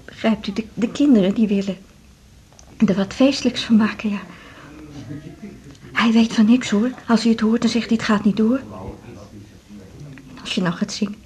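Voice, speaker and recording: A woman's voice: a little high, steady tone, moderate pace, pauses often, dark tone, lightly acted. A middle-aged woman acting a nervous, confiding wife of a patient in a 1980s radio drama, talking in a homely, hesitant way. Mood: fretful.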